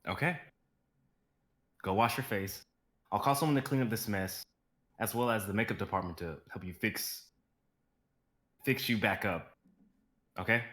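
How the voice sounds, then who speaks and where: A steady, patient male assistant who talks gently and soothingly, read by a man. His voice sits a little low. This is an amateur live dramatic reading. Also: normal speed, pauses often, reverberant, lightly acted. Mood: gentle. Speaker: actor in a live script reading.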